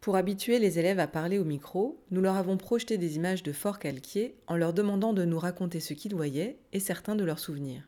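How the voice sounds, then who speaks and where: Low-voiced woman explaining briskly. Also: dark tone. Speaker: school staff narrator. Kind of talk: explanatory voice-over.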